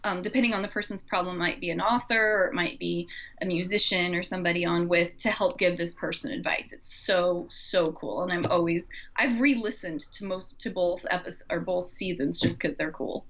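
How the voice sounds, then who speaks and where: A woman's voice: fairly low pitch, fairly even intonation, normal speed, fairly bright tone, lo-fi sound, slight background noise. A woman co-hosting a podcast, chatting casually and effusively in an informal way. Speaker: podcast co-host. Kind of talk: casual conversational podcast chat.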